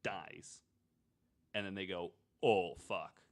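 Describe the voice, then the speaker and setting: A man's voice: deep voice, slow pace. A man on a podcast panel chatting casually and thinking aloud, informal and a bit wry. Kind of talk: casual chat, speculating aloud.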